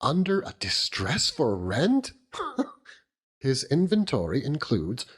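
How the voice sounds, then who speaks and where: Man playing pompous gentleman debtor, florid, theatrically gloomy, orotund, very varied pitch, unhurried, audiobook character. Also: wildly swooping pitch, breathy edge, full character voice.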